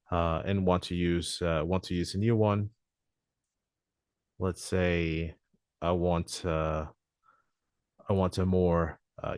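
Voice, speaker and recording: A man presenting a software demo walkthrough in a webinar, talking hesitantly with frequent "uh" fillers. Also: low voice, no room sound, studio quality.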